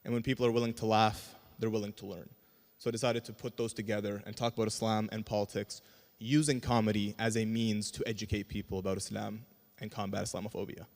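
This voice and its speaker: A man's voice: fairly low pitch, level tone, fast, a little mellow. A man from Canada speaking conversationally as a panelist, introducing himself to a live event. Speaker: panelist, comedian. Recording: echoey hall with long reverb.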